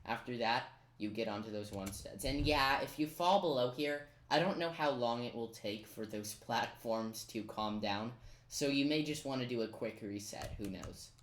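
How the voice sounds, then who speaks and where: Casual gameplay guide, chatty, self-deprecating, thinking aloud, mid-pitched voice, roomy echo, keyboard clicks. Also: normal speed, slight background noise. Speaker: video game guide commentator. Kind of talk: casual gameplay tutorial commentary. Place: indoors in a roomy-sounding room at a computer. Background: keyboard typing and clicks.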